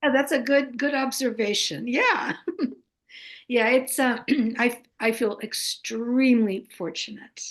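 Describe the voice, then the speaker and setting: Older female guest, Greek first language, slightly high voice, halting conversational delivery. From United States. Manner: halting, many fillers and restarts. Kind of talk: casual interview conversation.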